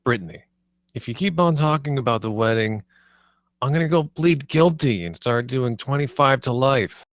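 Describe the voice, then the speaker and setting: A man acts out a brash, cocky defendant in a comedy table read. He talks in crude slang at an average male pitch, with a dry sound. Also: lively intonation, moderate pace, no echo, close to an everyday voice. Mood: exasperated. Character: cocky, crude young man awaiting murder trial.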